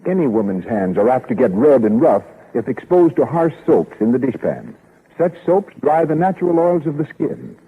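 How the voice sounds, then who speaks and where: A man's voice: mid-range voice, husky. A man reading scripted 1930s radio advertising as an announcer, on a muffled old recording.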